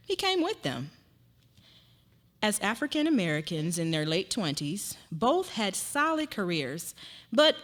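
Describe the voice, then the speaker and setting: A woman's voice: mid-range voice, a bit bright. A woman narrating a story aloud in a dramatic reading.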